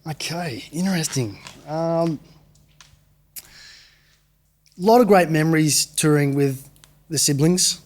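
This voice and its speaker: An Australian man being interviewed as a musician, easygoing and relaxed, laughing easily and often saying yeah. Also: on the high side, slow pace, long pauses. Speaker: guest musician. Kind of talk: casual interview.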